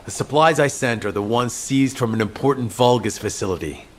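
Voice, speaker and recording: A man's voice: medium pitch, a little fast, a little hiss or hum, lightly acted. Serious, commanding male field officer an actor's role. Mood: urgent. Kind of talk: dramatic video game cutscene acting.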